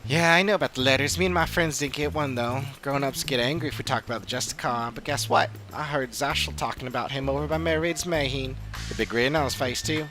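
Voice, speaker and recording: A man's voice: mid-range voice, fast talker, few pauses, close to an everyday voice. A man voicing a chatty, naive child character in a video game, in an innocent, informal manner. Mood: eager, curious. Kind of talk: scripted video game dialogue. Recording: game music behind voice.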